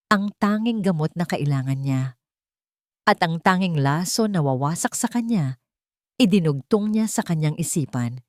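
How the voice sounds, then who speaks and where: A woman's voice: fairly low pitch, darkish tone, no room sound, studio quality. A woman with a Filipino accent reading a dramatic romance story as a scripted narration.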